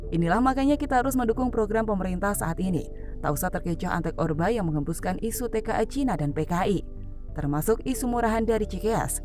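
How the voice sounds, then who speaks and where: A woman reads scripted news commentary in a formal manner. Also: lowish voice. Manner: formal, read-out delivery. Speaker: narrator. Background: background music.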